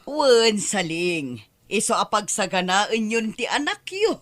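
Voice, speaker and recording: A woman's voice: mid-range voice, very animated, slow, a bit bright. A woman acting a giggly, agreeable friend in an Ilocano radio drama. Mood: amused, agreeable.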